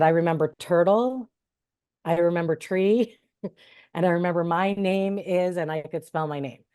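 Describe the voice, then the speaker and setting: A woman guest tells stories in a chatty, easygoing way. Her voice sits low, with a warm, mellow tone. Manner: chatty, laughs often. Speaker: guest, sign language interpreter. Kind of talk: casual interview storytelling.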